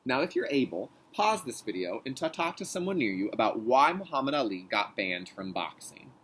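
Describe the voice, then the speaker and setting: A man who works as a kindergarten teacher, leading a read-aloud lesson with deliberate enunciation and an instructional manner for young children. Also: mid-range voice, big pitch swings, a bit bright, slight background noise.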